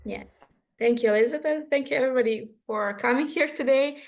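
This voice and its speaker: Female activist panelist, Serbian accent, slightly high voice, candid and informal delivery. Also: brisk. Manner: candid, conversational, with quoted asides. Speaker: activist, guest speaker. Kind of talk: informal personal account at an online panel. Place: online video call.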